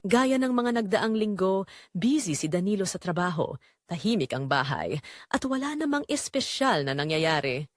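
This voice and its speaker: A woman narrating a scripted first-person story in a confessional, dramatic way with deliberate phrasing. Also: mid-range voice, very animated, no echo, clean studio sound.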